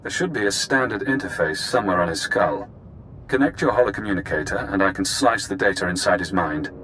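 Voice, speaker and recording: Sinister game mastermind: very low voice, cool, measured, calculating, over a faint rumble. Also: male voice, lively intonation, fairly bright tone, rough recording. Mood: cold, commanding. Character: calculating, sinister male genetically engineered intelligence prisoner. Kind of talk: video game voice acting.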